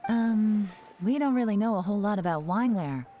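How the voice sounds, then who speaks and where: A woman playing a spymaster in a video game, calm and cool, in full command. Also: mid-range voice, brisk, close to an everyday voice. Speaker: spymaster leading an investigation. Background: game music.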